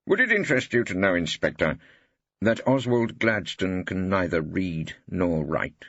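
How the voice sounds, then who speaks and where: A man acts a shrewd old male barrister from an audiobook, low and husky. He presses his points with pompous, rhetorical relish and expressive swoops. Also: measured pace, dry recording, highs cut off, full character voice. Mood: needling, rhetorical. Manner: rhetorical, insistent, pompous flourishes.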